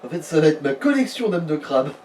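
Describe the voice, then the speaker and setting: A slightly high male voice, heard a little away from the microphone. He chats casually over a game, wry and fond of absurd riffs. Faint noise sits behind him. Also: very animated, brisk, darkish tone, recorded from a distance. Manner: wry, riffing on absurd ideas. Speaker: let's play co-commentator.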